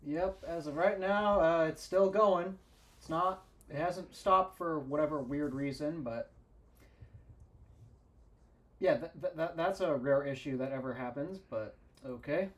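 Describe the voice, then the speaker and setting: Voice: mid-range voice, measured pace, pauses often. A co-host on a casual tech podcast, teasing the host and laughing often.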